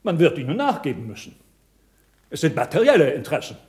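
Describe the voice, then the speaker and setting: An actor playing a zealous, sly cardinal in a radio drama, speaking with insistent, scornful rhetoric. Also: male voice, a little high, huge pitch swings, fast, darkish tone.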